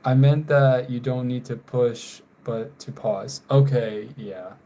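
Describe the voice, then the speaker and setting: A young man from North Carolina talks to his live stream viewers in an easy, unhurried way. His voice sits at an ordinary pitch. His sentences tend to fade softly at the end, and he keeps apologizing for getting names wrong. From North Carolina, USA. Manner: slow, trailing off quietly, apologetic about names. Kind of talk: casual live stream chat while drawing.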